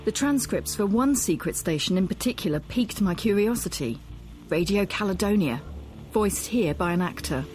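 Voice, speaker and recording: A woman narrates a documentary as its presenter. She is articulate and measured, with a mid-range voice. Faint music sits behind her. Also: mid-range voice, lively intonation, a little mellow.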